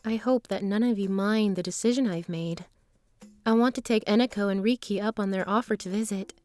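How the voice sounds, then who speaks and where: A woman's voice: mid-range voice, a little fast, a little mellow, close to an everyday voice. A woman playing a sweet, trusting but determined traveling performer in a fantasy audio drama. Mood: concerned, determined.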